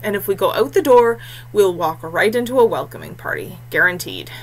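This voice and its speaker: A woman's voice: mid-range voice, a little fast, exaggerated voice. A woman voicing a blunt, grim and wary young male warrior in a fantasy audiobook. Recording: clear steady background noise and hum.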